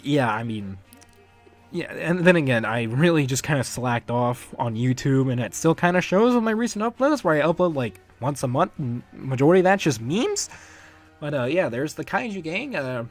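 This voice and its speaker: A man's voice: on the high side, sing-song, moderate pace. A young man giving casual, rambling and enthusiastic behind-the-scenes commentary as the creator of a fan dub. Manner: rambling, enthusiastic, chuckles often. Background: music under the voice.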